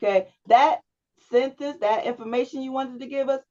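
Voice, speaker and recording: A woman's voice: high voice, normal speed, mellow, highs cut off. Woman coaching a student over video call. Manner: coaching, checks understanding with frequent "right?". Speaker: public speaking instructor. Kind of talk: one-on-one feedback on a video call.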